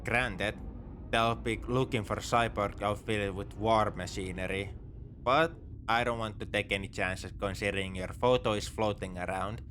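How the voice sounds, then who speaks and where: A man playing a begrudgingly soft-hearted space pirate captor in a sci-fi audio roleplay, speaking to the listener in an exasperated, dismissive, teasing way. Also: fairly low pitch, steady tone, normal speed, slight background noise, close to an everyday voice. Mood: wry, practical. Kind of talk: sci-fi ASMR roleplay acting, addressing the listener.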